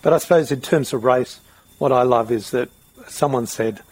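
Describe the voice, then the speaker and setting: Male interviewer, Australian, middle-aged, gravelly and husky, thoughtful and rambling in conversation. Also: mid-range voice, moderate pace, darkish tone, poor audio. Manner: rambling, reflective questioning.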